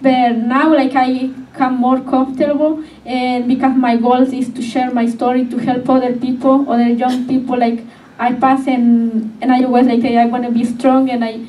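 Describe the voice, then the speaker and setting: A young woman, a native Spanish speaker, answering questions at a public event in halting English full of fillers. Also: high-pitched, level tone, mellow. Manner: hesitant, filler-heavy non-native English. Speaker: author sharing her story. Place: community media event room. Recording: distant, echoey with faint noise.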